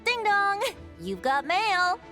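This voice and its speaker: A woman voicing a lyrical, playful storyteller and messenger in a game cutscene, poetic and unhurried. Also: very high voice, sing-song, sharp tone, heavily acted. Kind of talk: dramatic game cutscene acting. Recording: music behind the voice.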